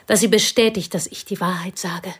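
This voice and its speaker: A husky, whispering confidante. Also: female voice, fairly low pitch, fairly even intonation, a little fast. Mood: intense. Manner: intense, intimate confession. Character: plain devoted woman confessing to a pianist. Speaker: confiding companion. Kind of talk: radio play acting.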